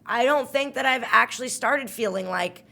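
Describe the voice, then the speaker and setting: A woman's voice: fairly high pitch, moderate pace, vocal fry, sharp tone. A woman in her thirties co-hosting a casual podcast, thinking aloud in a reflective way with restarts. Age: 30s. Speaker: podcast co-host.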